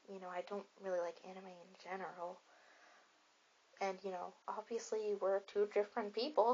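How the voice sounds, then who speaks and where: A woman playing a friendly popular teenage girl in an ASMR roleplay, chatting softly and reassuringly in a rambling, casual way. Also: medium pitch, slightly rough, dull audio.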